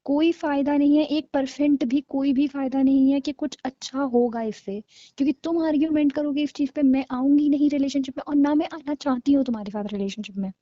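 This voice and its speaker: Woman doing a young gf dumping her guy, high soft-toned voice, cold n argumentative, dry kinda lofi audio drama. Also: fairly even intonation, fairly quick, no echo, lo-fi sound, natural voice. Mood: cold, dismissive. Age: young adult. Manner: blunt, dismissive, argumentative. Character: cold, scornful young girlfriend breaking up with her boyfriend. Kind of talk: naturalistic audio drama of a couple's call.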